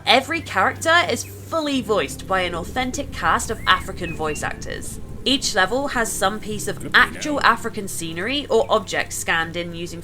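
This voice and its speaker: A woman's voice: mid-range voice, sharp tone. A woman narrating a gameplay video from a script, informal and chatty as she addresses viewers.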